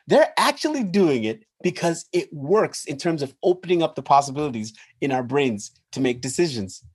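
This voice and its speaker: A man from Nigeria, a podcast guest, explaining ideas in an animated way and laughing as he talks. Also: on the high side, normal speed, slightly rough. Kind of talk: casual interview talk.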